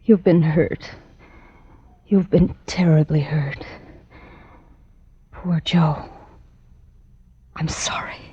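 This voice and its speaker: An actress playing a frightened but gentle victim in a vintage radio thriller, pleading in a breathy voice. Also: female voice, sits low, steady tone, very slow, pauses often, full character voice. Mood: tender, pitying. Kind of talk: 1940s-50s radio thriller acting. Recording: dull vintage radio recording.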